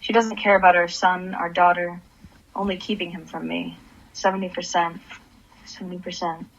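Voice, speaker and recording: A woman's voice: on the low side, flat delivery, normal speed, highs cut off. A woman playing a troubled, grieving divorced mother in a radio play, subdued and brooding. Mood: bitter.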